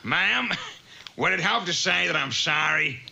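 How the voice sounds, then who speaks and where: A man's voice: fairly high pitch, animated, unhurried, gravelly, loud music behind the voice, full character voice. A man voicing a grumbling, hot-tempered potter in a comic cartoon, blustering and muttering grouchily. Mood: sheepish, wry. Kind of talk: comic cartoon character acting.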